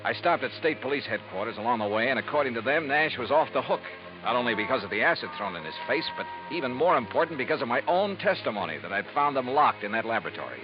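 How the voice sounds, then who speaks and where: A man's voice: mid-range voice, big pitch swings, fast, over music, natural voice. An actor playing a hard-driving male insurance investigator in a 1950s radio drama, questioning with clipped, pressing lines. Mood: narrating. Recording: dull lo-fi 1950s radio broadcast.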